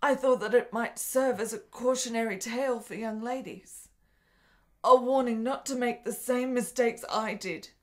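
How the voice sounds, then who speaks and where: A woman's voice: a little high, normal speed, natural voice. A woman playing a sickly, desperate, intense young woman in a period radio drama, with formal, literary, emotionally fraught diction. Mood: frail, earnest.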